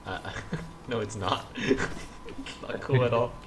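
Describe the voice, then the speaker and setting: A man's voice: medium pitch, steady tone, takes it slowly, background noise. A man co-hosting a casual gaming livestream, groggy from just waking up, who laughs often and restarts his sentences. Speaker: streamer co-host.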